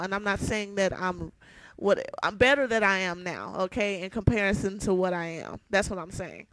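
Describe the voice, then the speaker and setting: A young woman with a raspy voice, ranting heatedly and emphatically in a vlog, repeating her points. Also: mid-range voice, dry recording. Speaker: vlogger. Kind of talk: ranting to camera.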